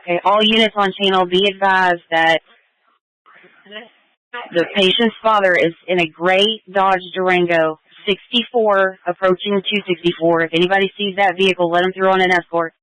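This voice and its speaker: Flat, even-toned police dispatcher on radio. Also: mid-range voice, moderate pace, poor audio. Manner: clipped, procedural. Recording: police scanner radio audio.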